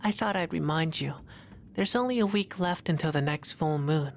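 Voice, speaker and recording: A woman voicing a calm, mysterious young visitor in a video game, speaking gently. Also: deep voice, moderate pace, gravelly, slight background noise. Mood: calm, gentle. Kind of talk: English video game dub acting.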